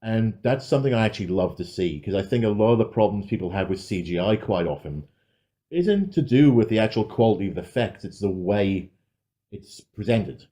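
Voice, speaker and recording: A man with a lowish, dark and mellow voice gives a casual film review as a podcast co-host. His delivery is measured and considered. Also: lively intonation.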